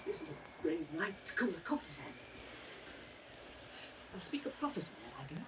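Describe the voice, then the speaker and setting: An actor playing a sly, mocking court fool, chanting riddles in a sing-song classical stage manner. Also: male voice, sits high, sing-song, normal speed. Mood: mocking prophecy. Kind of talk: classical Shakespearean audio drama acting. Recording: noisy vintage recording, distant from mic.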